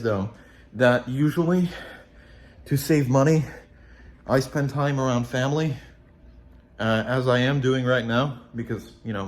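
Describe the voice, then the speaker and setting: Young man with slight Polish accent. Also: medium pitch. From Poland. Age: young adult. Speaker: vlogger. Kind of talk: casual video journal monologue. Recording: echoey room, faint ambience.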